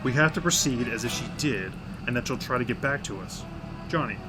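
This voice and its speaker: A man's voice: mid-range voice, animated, fairly quick, lightly acted, rough recording. A man playing an earnest, decisive field agent with a terse, urgent, procedural manner. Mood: grimly determined. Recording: loud music and vehicle noise.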